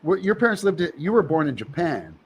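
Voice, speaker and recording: Casting: male podcast host, slightly higher voice, joking tone, faint noise behind. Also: very animated, brisk. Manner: playful, joking. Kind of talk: casual interview chat.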